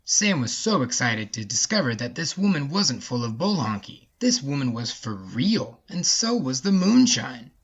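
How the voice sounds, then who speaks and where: Husky male storyteller, slightly muffled. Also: mid-range voice, big pitch swings, normal speed, hardly any pauses. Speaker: narrator. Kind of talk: folksy scripted story narration.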